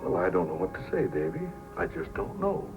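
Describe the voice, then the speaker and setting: An actor playing a hot-headed, wronged dog-sled racer with emotional delivery in old-time radio drama style. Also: male voice, low-pitched, huge pitch swings, fairly quick, full character voice. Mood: dejected. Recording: muffled old radio recording with music.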